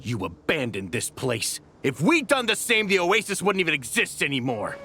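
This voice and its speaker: An indignant, hot-headed desert warrior in a fantasy game. His voice is gravelly and forceful, swinging widely in pitch as he makes blunt accusations. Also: very animated, normal speed, a little hiss or hum, full character voice. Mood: angry, accusing.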